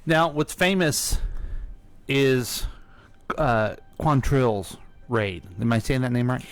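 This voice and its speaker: A man's voice: medium pitch, animated, takes it slowly, clear, resonant tone. A middle-aged man co-hosting a radio show in a casual, chatty style, putting questions and interjecting. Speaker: radio co-host asking questions. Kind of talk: casual chat, interjecting and joking.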